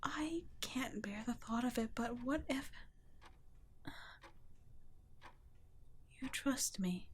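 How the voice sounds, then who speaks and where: A gentle female vampire acted by a woman speaks very slowly in a soft, breathy half-whisper. She is protective and weighed down by guilt, halting and tender, in an intimate ASMR roleplay. Faint ambient noise sits behind her voice. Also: fairly high pitch, lightly acted. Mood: anxious, tender. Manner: slow, hushed, halting, tender.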